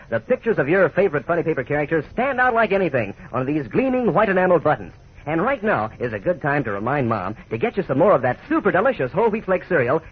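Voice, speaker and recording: A man's voice: on the high side, husky. An American man announcing a folksy 1940s radio advertisement in a chummy, enthusiastic manner. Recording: thin, narrowband vintage radio recording.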